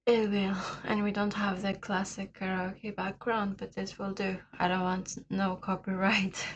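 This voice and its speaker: Woman, raspy, hoarse, slow relaxed pace, casual livestream chat, laughs often. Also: medium pitch, dull audio, slight background noise. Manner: laid-back, laughs often. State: hoarse today. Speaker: livestream host.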